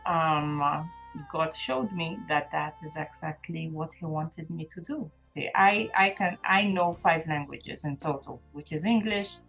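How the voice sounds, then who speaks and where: A woman's voice: sits low, a little slow, vocal fry, a bit bright, faint music behind, poor audio. A woman, a gospel singer speaking as an interview guest in an earnest, reflective way, often restarting her sentences. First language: Maltese. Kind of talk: casual interview chat.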